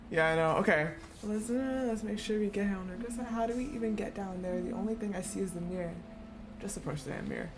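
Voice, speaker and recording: A woman livestreaming a game, chatting casually and reacting in a playful way. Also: mid-range voice. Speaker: game streamer.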